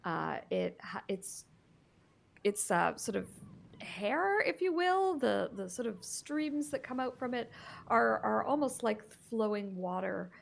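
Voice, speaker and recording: A woman narrating a tabletop game as game master, describing scenes in a halting way with many fillers. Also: on the high side, big pitch swings, creaky, a little hiss or hum.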